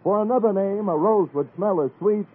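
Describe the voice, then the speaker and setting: A man's voice: sits high, fairly quick, breathy edge. An American man announcing a 1940s radio variety show with theatrical, playful, rhyming jive patter. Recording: muffled vintage radio broadcast recording. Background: music.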